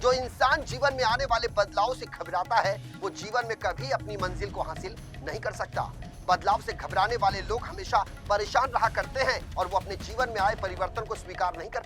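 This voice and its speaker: A man's voice: high voice, normal speed. A man reading a scripted news bulletin as anchor, formal and emphatic. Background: music bed.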